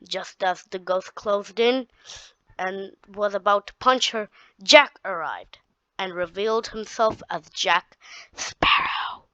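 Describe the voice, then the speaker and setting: A woman reading her own story aloud, halting often and restarting her sentences. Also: mid-range voice, bright, forceful tone, clean tone, no echo. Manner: halting, with frequent restarts and repeated words. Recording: dull, dry lo-fi recording.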